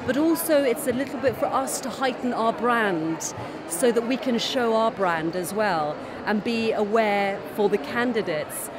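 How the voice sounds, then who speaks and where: A woman's voice: fairly high pitch, background noise. A woman recruiter giving a spontaneous interview answer at a busy event. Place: busy job fair. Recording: loud crowd in public hall.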